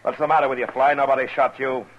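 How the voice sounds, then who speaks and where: An actor playing a steady, stern American frontier marshal in a 1950s western radio drama, plain-spoken and firm. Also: male voice, mid-range voice, fast talker, lightly acted. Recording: dull, noisy 1950s radio recording.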